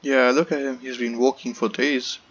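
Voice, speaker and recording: A man's voice: mid-range voice, little pitch movement, normal speed, fairly bright tone, breathy edge, muffled recording. A man giving casual let's-play commentary as he plays through a game. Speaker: gamer commentating a playthrough. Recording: game music behind the voice.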